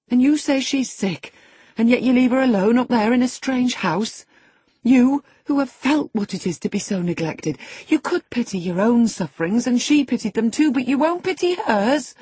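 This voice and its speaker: A woman's voice: sits low, slightly rough, dry recording. A woman voicing an indignant, loyal elderly woman servant who scolds with emphatic feeling, in an audiobook.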